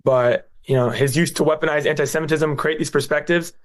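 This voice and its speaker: A man's voice: a little high, steady tone, brisk. A young man from the United States, a guest answering interview questions in an earnest, plain-spoken way. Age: young adult.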